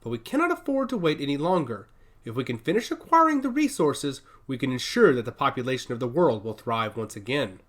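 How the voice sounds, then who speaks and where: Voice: mid-range voice, very animated, a little fast. A narrator voicing a revered, commanding old woman who leads a secret order, speaking steadily and with solemn deliberation. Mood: resolute. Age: older.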